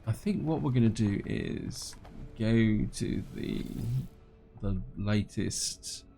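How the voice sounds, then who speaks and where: A man with a croaky, congested voice from a cold, casually commenting on his gameplay and thinking aloud as he goes. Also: fairly low pitch, takes it slowly, warm tone, soft background music. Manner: rambling, thinks aloud with fillers and restarts; reads on-screen game text aloud.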